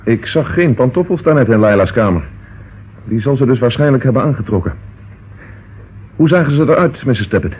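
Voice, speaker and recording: A man's voice: medium pitch, expressive, lightly acted. Man playing stern police inspector, tinny old recording. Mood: probing. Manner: brisk, authoritative questioning. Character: decisive male police inspector, stern and businesslike. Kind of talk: old Dutch radio crime drama acting. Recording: muffled, noisy old radio recording.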